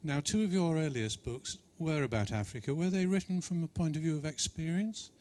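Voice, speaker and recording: A man in the audience at a live talk, asking the author a measured, courteous question. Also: a little high, darkish tone. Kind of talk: question from the floor.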